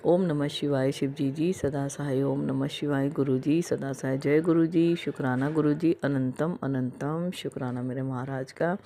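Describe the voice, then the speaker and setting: Hoarse, gravelly-voiced devotional woman. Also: rumbling low, fairly even intonation, unhurried, few pauses, warm tone. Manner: emotional, repetitive, chant-like. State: hoarse throat. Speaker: devotee sharing a testimony. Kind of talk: devotional thanksgiving testimony.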